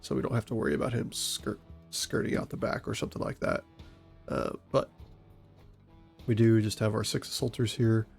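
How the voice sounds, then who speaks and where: A man with a stuffy, congested voice giving calm, methodical commentary on a tactical game. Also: on the low side, moderate pace, vocal fry, soft background music. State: stuffy from a cold. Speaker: gaming channel host and SWAT operator.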